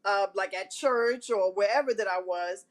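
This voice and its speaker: A woman with a big voice that carries, talking straight to her viewers as host of her own live show. She tells her story frankly and pokes fun at herself, clearing her throat now and then, at a middling pitch. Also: normal speed. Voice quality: big, carrying voice. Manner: frank and self-mocking, with frequent throat clearing. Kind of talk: candid personal storytelling to viewers.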